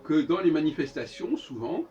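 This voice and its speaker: Higher-voiced Frenchman lecturing, very animated intonation. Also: highs cut off. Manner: halting, self-correcting, emphatic repetition of phrases. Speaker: speaker giving a philosophical talk. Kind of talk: improvised monologue, opinionated lecture. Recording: distant microphone, noisy room ambience.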